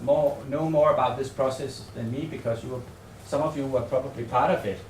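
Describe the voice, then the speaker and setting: A man with a Danish accent gives a research presentation in an echoey room, picked up from some distance with faint noise behind him. His pitch is average for a man. He talks tentatively and haltingly, often repeating words as he works out the phrasing. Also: steady tone, darkish tone. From Denmark. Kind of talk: semi-improvised conference presentation. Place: seminar room in a hotel.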